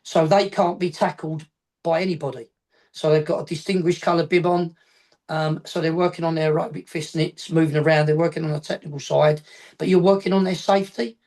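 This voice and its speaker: A man's voice: fairly high pitch, fairly even intonation, fairly quick, a little mellow. A middle-aged man talks through coaching ideas in a rambling, explanatory way, circling back to add one more point. He is heard over a video call from his home office. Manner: rambling, repeats points, adds afterthoughts. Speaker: football coach educator, guest. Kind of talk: casual explanatory chat.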